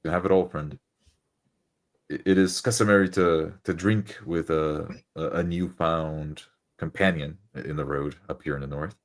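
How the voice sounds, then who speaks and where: A man's voice: a bass voice, steady tone, moderate pace. A man playing a tabletop roleplaying game, casually speaking in character and describing his actions.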